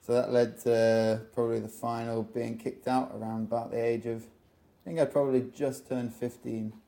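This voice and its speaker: A young English man from England, a podcast guest telling relaxed stories about his life with lots of fillers. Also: mid-range voice, moderate pace, creaky. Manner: relaxed storytelling with frequent fillers. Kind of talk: casual interview.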